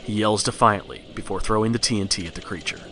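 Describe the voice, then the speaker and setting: A man's voice: lowish voice, fast, over music. A man narrating a scripted horror story in the style of a read-along storybook record.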